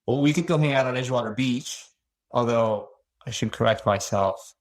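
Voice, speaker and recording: A male cardiology fellow walks listeners through a patient's history step by step. His voice sits in the middle range and his delivery is calm and measured. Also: medium pitch. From Los Angeles, USA. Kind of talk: clinical case presentation.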